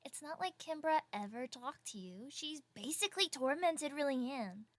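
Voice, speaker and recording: Castle maid: young, outspoken gossip, high voice, audio drama, dry close sound. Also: female voice, lively intonation, moderate pace, no echo. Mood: gossipy.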